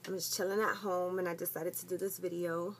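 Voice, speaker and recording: A woman, chatting to camera from home. Her voice sits fairly low and stays even in pitch. She rambles loosely, filling gaps with ums and likes. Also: a little fast, low-quality recording. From the Bronx, New York. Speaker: vlogger. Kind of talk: casual chat to camera. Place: at home, indoors.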